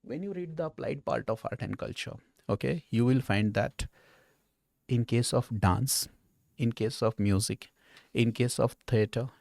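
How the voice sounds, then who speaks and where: A man's voice: mid-range voice, a little slow, gravelly, a little mellow, no echo, studio quality. A man with an Indian accent, an exam educator talking through questions conversationally and didactically, often checking in with okay or right.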